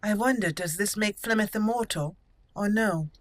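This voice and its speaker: A woman hosting gameplay and improvising in character as an elf mage, speaking in thoughtful, halting, slightly formal phrases. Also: medium pitch, no room sound. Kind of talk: improvised in-character roleplay commentary.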